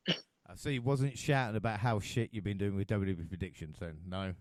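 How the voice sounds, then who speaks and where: A man's voice: fairly low pitch, fairly even intonation, fairly quick, dry recording. A man hosting a wrestling podcast, joking and laughing through casual chat. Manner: jokey, laughs often, mumbles asides. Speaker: podcast host.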